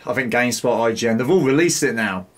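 A man's voice: medium pitch, animated, fast, a bit bright. A man in his thirties hosting a casual reaction chat. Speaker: reaction show host.

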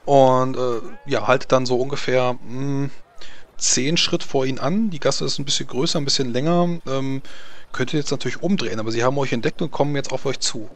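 Man, game master, improvised narration, hesitant delivery, mid-range pitch. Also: steady tone, brisk.

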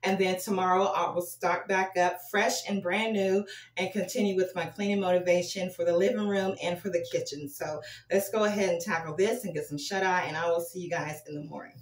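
A woman's voice: on the low side, steady tone, brisk, slight background noise. A woman in her forties talking casually to the camera in a small apartment bathroom, chatty and encouraging as she cleans. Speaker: cleaning vlogger. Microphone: camera on the counter a few feet away. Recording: roomy bathroom echo, distant mic.

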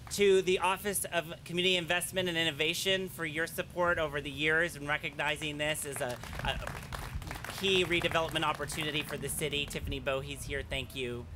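A man in his thirties, an arts official, giving an earnest, slightly halting speech of thanks at an outdoor podium. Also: on the high side, noisy background. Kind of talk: ceremony speech with thank-yous. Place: outdoor plaza at a groundbreaking. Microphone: podium mics.

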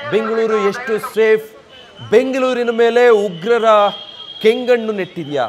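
This is a male news anchor with a notably high-pitched voice. He delivers live breaking-news commentary in a dramatic way. He often builds his points as rhetorical questions. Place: TV news studio.

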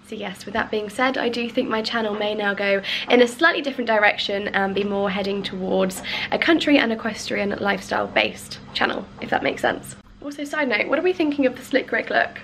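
A young woman vlogging casually to camera at arm's length in her living room, bubbly and chatty, her voice slightly croaky from a cold. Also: medium pitch, brisk, fairly bright tone, a little hiss or hum. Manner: bubbly and chatty, many ums. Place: bright home living room indoors. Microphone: handheld camera at arm's length.

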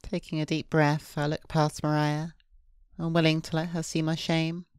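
A woman's voice: sits low, level tone, normal speed, smooth, dry recording, clean studio sound. A woman streamer reading visual novel narration aloud, with casual asides. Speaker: VTuber streamer. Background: soft guitar game music.

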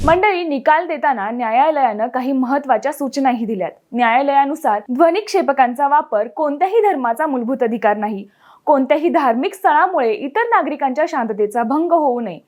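A woman news presenter, reading a scripted news explainer in a clear, measured way. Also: high-pitched, darkish tone.

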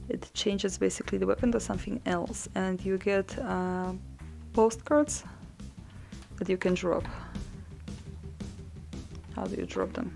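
A young woman talks through a game with a relaxed, dry wit, pausing with ums as she thinks aloud. Her voice carries a gravelly, fry-laden edge at an ordinary pitch. Game music plays clearly beneath her desk-mic commentary. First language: Polish. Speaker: mod developer showing a game. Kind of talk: casual gameplay commentary.

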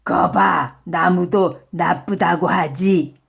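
A woman's voice: on the low side, very slow, vocal fry, exaggerated voice. A woman voicing a sly, ungrateful male tiger in a children's storybook, switching between false politeness and bullying. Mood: menacing, smug.

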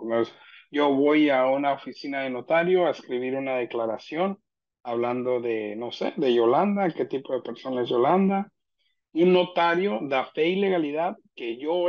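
A man giving an informal explanatory online presentation as a legal representative, talking in a conversational, somewhat hesitant way over a video call. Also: mid-range voice. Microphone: video call computer microphone. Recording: dull lo-fi video call audio.

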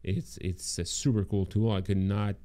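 Man in his thirties, low mellow tone, tired throaty voice, casual filler-heavy livestream pitch. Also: fairly even intonation, normal speed. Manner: rambling, many fillers and restarts. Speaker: agency owner presenting course bonuses. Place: home room indoors. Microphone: close studio mic in frame.

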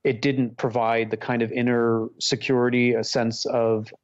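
A man's voice: medium pitch, flat delivery, moderate pace. Earnest man in a muffled interview. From Hong Kong. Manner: earnest, candid, searching for words. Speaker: podcast guest, psychotherapist and Buddhist teacher. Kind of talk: reflective personal storytelling.

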